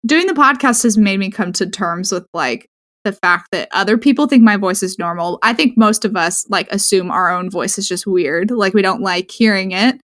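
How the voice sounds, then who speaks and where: A woman co-hosting a casual podcast in a calm, soothing voice. Her pitch sits in the middle, and she talks in an easygoing way. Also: moderate pace. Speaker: podcast co-host.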